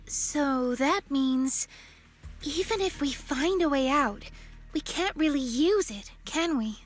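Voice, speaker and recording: Game role: inquisitive, playful girlish instructor, high and very animated, theatrical. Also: slow pace, soft background music. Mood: curious. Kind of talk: video game voice acting.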